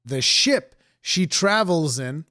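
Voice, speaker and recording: Animated Portuguese-born man, dry close mic. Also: fairly high pitch, takes it slowly, no room sound. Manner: animated storytelling, emphatic asides. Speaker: podcast co-host. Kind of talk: casual chat.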